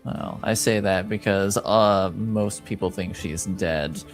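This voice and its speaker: Man as a slow, guarded tavern informant. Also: sits low, faint music behind, pitched below the performer's own voice. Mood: secretive. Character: guarded, shady male informant in a fantasy tavern.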